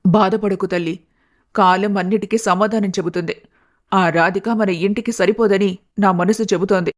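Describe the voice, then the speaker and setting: A mid-pitched female voice acted by a woman plays an old village grandmother in an animated tale. She is stern and direct, grave in manner, yet caring toward her family. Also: moderate pace, natural voice. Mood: consoling. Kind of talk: animated family story dialogue.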